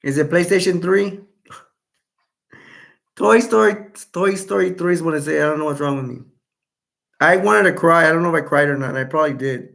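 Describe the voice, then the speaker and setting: A man hosts a live chat stream, reading out viewer comments and wandering from one thought to the next. His voice sits somewhat high and has a gravelly fry in it. It is getting hoarse as he talks. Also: moderate pace, pauses often, fairly bright tone. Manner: rambling, self-correcting, chatty. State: voice going hoarse.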